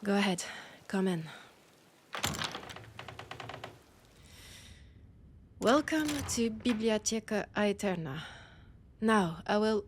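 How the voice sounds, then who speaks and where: Woman acting a female vampire scholar, French accent, unhurried, irritated, sardonic, breathy asides, audio roleplay, faint noise behind. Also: medium pitch, close to an everyday voice. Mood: annoyed, sarcastic, then condescending, exasperated. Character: annoyed, haughty female vampire scholar, ancient and hungry. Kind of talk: scripted roleplay audio drama.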